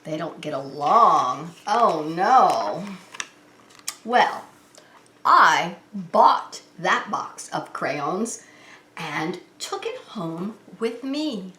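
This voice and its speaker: Woman, preschool teacher, children's read-aloud, very lively intonation, simple expressive explanations, echoey room. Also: on the low side, very slow. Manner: expressive, simplified talk addressed to young children. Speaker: preschool teacher and story time host. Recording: roomy, echoing indoor sound.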